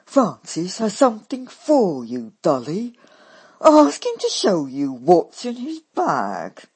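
Woman playing a blunt young woman who talks loud and slow, storybook figure. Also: lowish voice, sing-song, husky, full character voice. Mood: brash.

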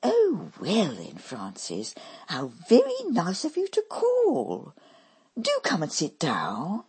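A woman voicing a young woman who turns from indignant to affectedly posh, husky-voiced. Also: wildly swooping pitch, a little slow, darkish tone, exaggerated voice. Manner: shrill, then put-on genteel tones.

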